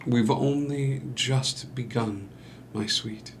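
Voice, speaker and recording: Man acting a creaky-voiced, charming fiancé. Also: medium pitch, slow, slight background noise. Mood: doting, coaxing. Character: doting, charming young husband-to-be Henry, smooth sweet-talker. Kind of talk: musical theatre table read.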